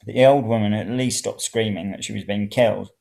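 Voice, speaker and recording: A narrator reading first-person serial fiction in an expressive, dramatic way. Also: mid-range voice, fairly quick, gravelly. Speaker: story reader.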